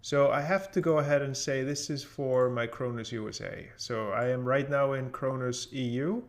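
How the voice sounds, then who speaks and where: A man talks through a screen-share software lesson in an informal, instructional style. His voice sits at an average pitch with a clearly gravelly, creaky edge. He explains each step in order, as a trainer would. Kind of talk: casual screen-share tutorial narration.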